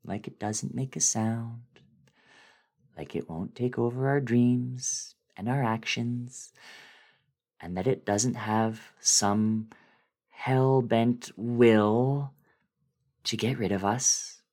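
A man giving a dramatic reading of a horror story in a deliberate, brooding way with dramatic swells. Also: mid-range voice, a little mellow. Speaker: dramatic reader.

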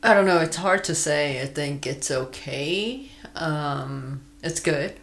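Slow, gravelly podcast co-host. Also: medium pitch, expressive, a bit bright. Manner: easygoing, laughs often, unhurried. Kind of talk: casual chat.

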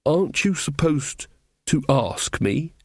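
A stunned young woman who mumbles and hesitates, voiced lightly by a male narrator. Her pitch is only a little raised. The recording is dry. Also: animated, slow pace, a little mellow, breathy edge, no echo, exaggerated voice. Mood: hesitant.

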